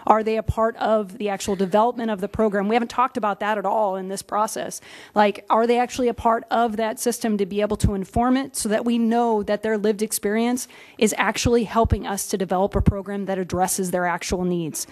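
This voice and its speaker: A woman giving an earnest public comment into a podium microphone at a community meeting, with frequent pauses and fillers. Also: medium pitch, fairly even intonation, a little mellow.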